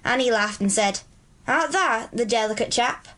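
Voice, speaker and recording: A woman's voice: on the high side, moderate pace, a bit bright, lightly acted. A woman voicing a cheerful, friendly Yorkshire country boy who speaks in broad rustic dialect. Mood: earnest.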